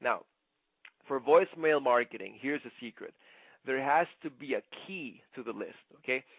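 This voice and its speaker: A man giving an instructional sales presentation like a trainer, conversational but emphatic, often checking in with a quick "okay?". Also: mid-range voice, dry recording. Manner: conversational, punctuates points with "okay?". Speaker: network marketing trainer. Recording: muffled, narrow phone-like sound.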